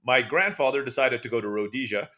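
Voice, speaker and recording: A man with an American accent, guest on a podcast, telling jokey stories in a quick, casual way. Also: mid-range voice, bright, forceful tone. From Turkey. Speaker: guest, online school founder.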